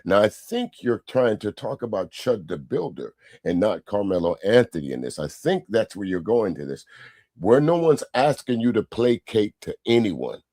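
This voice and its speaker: A man's voice: on the low side, very animated, normal speed, darkish tone, no room sound, clean studio sound. A man hosting a livestream, giving casual commentary and replying to viewer comments in an argumentative way with rhetorical questions. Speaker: livestream host.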